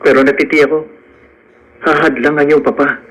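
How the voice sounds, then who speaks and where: A man's voice: fairly high pitch, fairly even intonation, a little fast, fairly bright tone. A man acting in a Filipino radio drama as an earnest, poor young suitor, lovelorn and proud, speaking solemnly. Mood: tender, earnest. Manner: heartfelt, solemn declarations.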